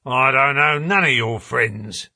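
A man voicing a gruff, blunt, burly porter and former prize fighter in an audiobook story. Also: a little high, sing-song, a little slow, dry recording, studio quality, full character voice. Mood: gruff, stubborn. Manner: rough working-class grammar, blunt and loud.

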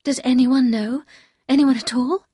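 A woman's voice: fairly high pitch, steady tone, fairly quick, a little mellow, husky, no echo, studio quality. A woman voicing a shy, devoted young wife in an audiobook, anxious, earnest and pleading. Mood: anxious, urgent. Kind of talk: audiobook character dialogue.

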